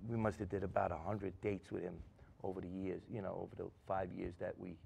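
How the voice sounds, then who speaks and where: Gravelly, low-voiced New guy in his middle years, a chatty guest telling road stories. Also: flat delivery, fairly quick, darkish tone, slight background noise. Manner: rambling storyteller, many restarts and fillers. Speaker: guest musician. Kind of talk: casual chat.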